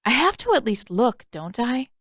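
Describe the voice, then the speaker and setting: A woman's voice: fairly high pitch, very animated, normal speed, a bit bright, dry recording, clean studio sound, full character voice. A woman voicing an earnest young boy, a tentative, pleading peacemaker in a story reading. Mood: hesitant.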